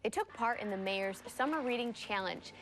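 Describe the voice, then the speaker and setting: A woman's voice: a little high, expressive, moderate pace, low-quality recording. A woman with an American accent anchoring TV news, moving between reading and casual banter.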